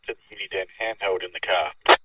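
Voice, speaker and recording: A man's voice: low-pitched, brisk, slightly rough, far from the mic, dull audio. A man making a test announcement, heard through a crackly two-way radio speaker. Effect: two-way radio transmission.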